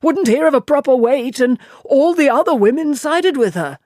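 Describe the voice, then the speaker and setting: A woman's voice: sits high, lively intonation, moderate pace, a little mellow, dry recording, clean studio sound, pitched above the performer's own voice. A woman voicing a chattering, easily flustered village man in an audiobook reading. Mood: gossiping. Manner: breathless gossiping, stammering when nervous.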